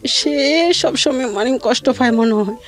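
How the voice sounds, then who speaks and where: A woman telling her life story in a plaintive, rambling way with halting repeats. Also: high-pitched, faint music behind. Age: adult mother of two. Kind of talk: personal hardship testimony in conversation.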